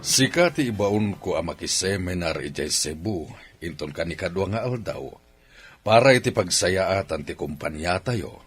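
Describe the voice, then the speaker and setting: A man with an Ilocano accent playing an authoritative but agreeable father who runs a company, in a radio drama, with a husky voice. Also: low-pitched, lively intonation, normal speed, a bit bright, faint music behind. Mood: authoritative, accepting.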